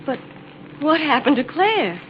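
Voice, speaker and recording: An actress playing an eager, sharp-eyed female assistant in an old-time radio drama. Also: sits high, very animated, measured pace. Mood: worried. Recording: muffled, noisy old radio recording.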